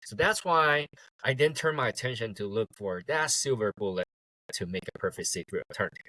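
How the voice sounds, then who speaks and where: A man, a guest talking in a relaxed way and laughing easily. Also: fairly low pitch, no echo, poor audio. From Taiwan. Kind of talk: casual interview.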